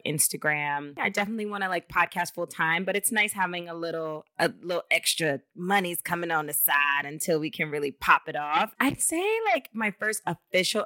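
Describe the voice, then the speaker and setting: Late-20s woman podcast guest, frank and animated, bright edge, dry close sound. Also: fairly low pitch, dry recording. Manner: candid, animated storyteller, swears. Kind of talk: casual podcast chat.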